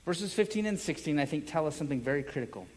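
Male pastor, higher-pitched voice, emphatic sermon delivery with weighty pauses, recorded in a reverberant hall. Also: lively intonation. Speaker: pastor preaching. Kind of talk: evangelical sermon. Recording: echoey hall with long reverb.

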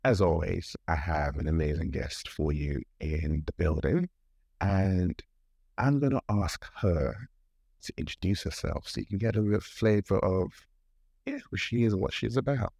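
Deep husky guy hosting a podcast, gravelly, dry close sound. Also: a little slow, dry recording. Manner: warm welcoming, enthusiastic. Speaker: podcast host.

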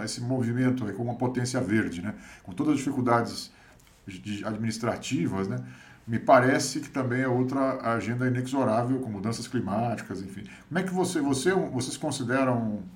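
A man with a Brazilian Portuguese accent interviewing conversationally, hesitant and rambling as he works toward his questions. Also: mid-range voice.

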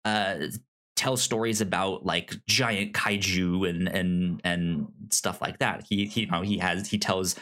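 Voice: low voice, fairly even intonation, a little slow, fairly bright tone. A podcast co-host casually discussing film, often stammering and repeating words and sounds.

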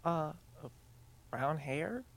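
A woman's voice: low-pitched, lively intonation, slow, heavily acted. A woman playing an earnest, nervous twelve-year-old boy in an audio drama, with a hesitant, stammering delivery. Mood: hesitant, unsure. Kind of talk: student audio drama acting.

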